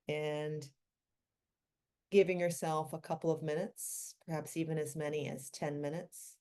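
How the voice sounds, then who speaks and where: A woman leading a guided meditation in a gentle, unhurried manner, with long pauses between short phrases. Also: sits low, level tone.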